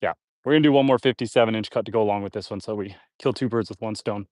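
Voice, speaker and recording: A man on a live stream from his homestead talks in a relaxed, chatty way as he works, stopping to read out viewer comments. He sounds a little nasal and congested. The sound is dry, with no room echo. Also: fairly low pitch, rapid-fire. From Canada. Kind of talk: casual live-stream chat while building. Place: outdoors on a homestead.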